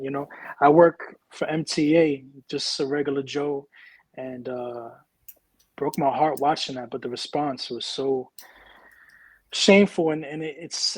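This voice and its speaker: A man's voice: a little high, husky, no room sound, poor audio. A man interviewing a guest in a casual, emotive conversational way.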